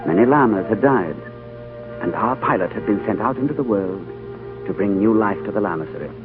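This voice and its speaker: Actor as earnest traveler, tinny vintage radio. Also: male voice, on the low side, animated, a little fast, lightly acted. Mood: wondering. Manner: dramatic, earnest, literary delivery. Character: earnest, awestruck male traveler narrating his tale. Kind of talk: 1940s radio drama narration and acting. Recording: tinny 1940s radio recording under music.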